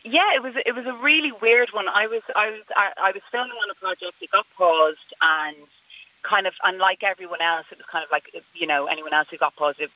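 A woman's voice: mid-range voice, fairly quick, no room sound. A woman with a Dublin accent, an actress calling in to a radio show, talking chattily with many fillers. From Dublin, Ireland. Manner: chatty, rambling, lots of fillers. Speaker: actress, phone guest. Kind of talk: casual phone interview. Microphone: phone. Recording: tinny phone line.

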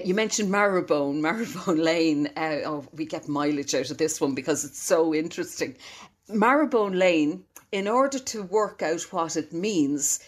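Low-voiced Dublin woman historian. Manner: chatty, laughing often, explanatory. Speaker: local historian guest. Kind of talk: radio interview.

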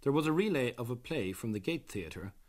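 Man, documentary presenter, measured, explanatory, lively intonation. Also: medium pitch. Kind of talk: scripted radio documentary narration.

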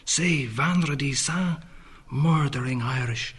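An actor voicing a brooding young poet's murmured inner monologue, at times sinking to a whisper. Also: male voice, mid-range voice, takes it slowly, fairly bright tone, breathy edge. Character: brooding young male poet, inward and allusive.

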